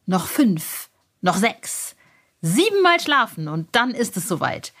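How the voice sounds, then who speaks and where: A woman's voice: medium pitch, big pitch swings, slow, a bit bright. Enthusiastic, chatty woman hosting a podcast. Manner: enthusiastic, informal. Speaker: podcast host.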